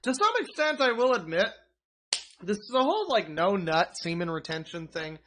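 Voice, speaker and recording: A man's voice: sits high, lively intonation, moderate pace, bright, forceful tone, a little hiss or hum. A man streaming, rambling and mocking a video in casual commentary.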